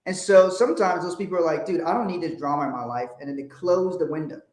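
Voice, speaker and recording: A man's voice: fairly high pitch, quick pace, a little mellow. A man from Liberia, hosting a casual livestream about money and business, talking emphatically, repeating phrases and swearing bluntly. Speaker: financial adviser host. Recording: echoey room.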